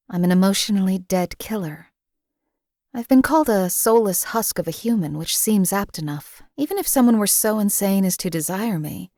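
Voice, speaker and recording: A woman's voice: mid-range voice, no room sound, studio quality, close to an everyday voice. A woman playing a cold emotionless assassin. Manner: flat, cold, clipped and detached. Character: cold-blooded female assassin companion, emotionless. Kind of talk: game companion voice lines.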